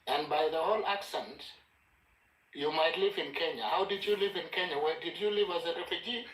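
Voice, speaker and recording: A man's voice: fairly high pitch, fairly quick, fairly bright tone, echoey room, poor audio. A man pressing a question again and again in a heated online debate, speaking formally. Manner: persistent, formal, repeats himself. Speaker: panel participant.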